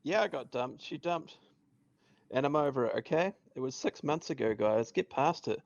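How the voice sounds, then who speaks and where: A man's voice: medium pitch, animated, normal speed, creaky, no room sound, dull audio. A man reading the part of a touchy sitcom roommate in denial about being dumped. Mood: defensive. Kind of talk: sitcom table read.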